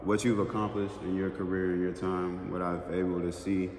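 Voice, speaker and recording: A man's voice: low voice, monotone, moderate pace, a little mellow, slight background noise. A man hosting a casual interview, talking in an earnest, encouraging way. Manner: earnest, encouraging, many affirmations. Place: Atlanta area. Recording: echoey with long reverb.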